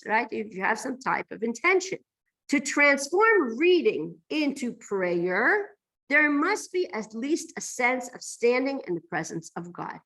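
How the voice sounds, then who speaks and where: Lady giving a religion lecture, highish voice that swoops around, really emphatic, asks questions as she goes. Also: a bit bright, poor audio. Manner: emphatic, poses rhetorical questions and checks "right?". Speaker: teacher of a religious class. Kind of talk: lecture to a class, explaining texts.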